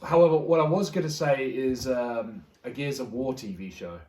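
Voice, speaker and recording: A man's voice: mid-range voice, moderate pace, darkish tone. Man hosting casually, roomy and distant. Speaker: podcast host. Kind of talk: casual group discussion. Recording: roomy echo, distant from mic.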